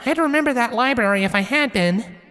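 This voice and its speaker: Guy doing a high girly voice for a young pony mare in a storybook, good-humored n thoughtful, swoops around a lot. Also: expressive, fairly quick, bright, forceful tone, clean tone, big-room sound, heavily acted. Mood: musing. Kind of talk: character voice in a storybook reading.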